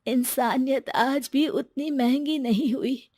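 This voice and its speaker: Husky, slow starving poor man woman acting. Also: high voice, darkish tone, no echo, studio quality, heavily acted. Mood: humble, weary. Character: weak, starving poor man, humble and generous.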